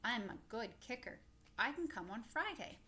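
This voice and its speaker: A polite little boy who just moved from far away, as a woman reads him aloud. He answers softly and plainly. The voice is bright and clear. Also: on the high side, very animated, normal speed. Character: young boy newly moved from abroad, polite.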